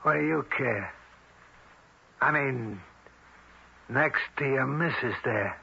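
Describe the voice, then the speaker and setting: An actor playing a cocky, scheming crook in a radio drama, with rough, slangy, persuasive talk. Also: male voice, mid-range voice, slow pace, sharp tone, slight background noise, full character voice. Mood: pushy. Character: scheming tough crook, cocky, desperate for a fortune. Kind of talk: 1970s radio drama acting. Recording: dull vintage radio recording.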